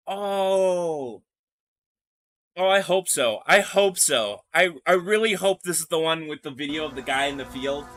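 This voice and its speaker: A streamer giving casual live reaction commentary in an excitable, sweary, joking manner. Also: medium pitch.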